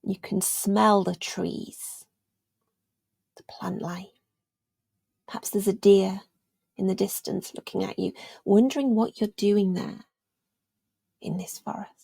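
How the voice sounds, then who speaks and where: Hushed, creaky-voiced woman guiding meditation. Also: medium pitch, fairly even intonation, dry recording. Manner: gentle, soothing, unhurried with long pauses, trailing into near-whisper. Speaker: meditation guide, yoga teacher. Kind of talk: guided meditation narration.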